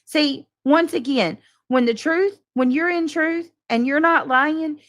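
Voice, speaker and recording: A woman's voice: high voice, slow pace, fairly bright tone. A woman livestreamer talking off the cuff to her followers in a casual, defensive, emphatic and exasperated way. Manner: coarse, swearing. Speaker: livestream host addressing subscribers and moderators. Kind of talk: casual unscripted livestream monologue.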